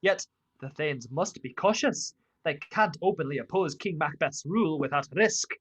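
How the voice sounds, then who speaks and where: A woman's voice: sits low, lively intonation, moderate pace, slightly rough. Woman narrating a radio drama.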